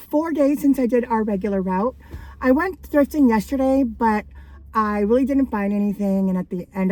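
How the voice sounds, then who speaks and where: A woman vlogging casually about her thrift finds, her voice raspy from days of coughing, rambling and laughing between thoughts. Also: fairly high pitch, a little mellow, rough recording. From Canada. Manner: chatty and rambling, frequent likes and laughs. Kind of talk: casual thrift haul vlog.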